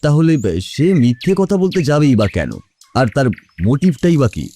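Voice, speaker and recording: A thoughtful detective voiced by a man works through his reasoning in an audio drama. His mellow voice moves with very lively intonation, deliberate and questioning. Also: on the high side. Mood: pondering. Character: male detective thoughtfully reasoning out a murder case.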